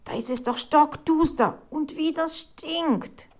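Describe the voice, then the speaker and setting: A woman voicing an excitable, dramatic female newspaper reporter in a storybook reading. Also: mid-range voice, unhurried, lightly acted. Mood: appalled. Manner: exclaiming, dramatic.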